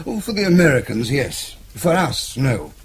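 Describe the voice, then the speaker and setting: British intelligence chief, suave, measured, formal, animated intonation, radio drama, faint background noise. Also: male voice, mid-range voice. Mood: cool, explanatory. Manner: urbane, measured, formal explaining.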